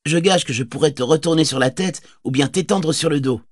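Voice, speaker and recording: A man voicing a proud, eager ten-year-old boy, a guard's son, who talks excitably and boastfully in an audiobook reading. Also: fairly high pitch, a little fast, no room sound, studio quality, voice pushed up, lightly acted. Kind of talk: audiobook character voice.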